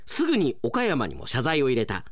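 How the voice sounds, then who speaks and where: A man's voice: mid-range voice, huge pitch swings, moderate pace, dry recording, studio quality. A man narrating a scripted radio serial in a theatrical, suspenseful storytelling manner. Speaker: radio announcer performing a drama. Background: music under the voice.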